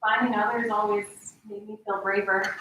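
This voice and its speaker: A young actress in a staged reading playing a reassuring, candid friend. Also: female voice, mid-range voice, flat delivery, moderate pace, sharp tone, slight background noise, lightly acted. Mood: candid, reflective. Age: young adult. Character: reassuring young woman, candid about past fear. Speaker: actor. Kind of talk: staged play reading, naturalistic drama. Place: large echoey indoor room. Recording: very echoey hall, distant mic.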